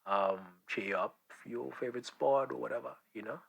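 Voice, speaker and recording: An actor playing a laid-back young best friend, blunt, slangy and teasing, in a naturalistic audio drama. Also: male voice, medium pitch, brisk, creaky, fairly bright tone, slightly rough, rough recording. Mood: teasing, consoling. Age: young adult.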